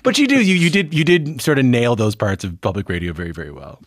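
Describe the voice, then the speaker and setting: A man's voice: mid-range voice, expressive, fast. A man with a Newfoundland accent hosting a radio interview, chatty and self-deprecating. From Newfoundland, Canada.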